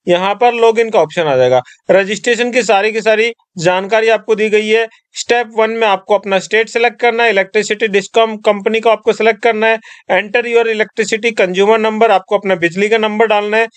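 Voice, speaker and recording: A man's voice: sits high, fairly even intonation, moderate pace, clear, resonant tone, no echo. A man from Rajasthan talking viewers step by step through an online form in a screen-recorded tutorial. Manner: step-by-step instructing, addresses viewers as friends. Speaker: tutorial presenter.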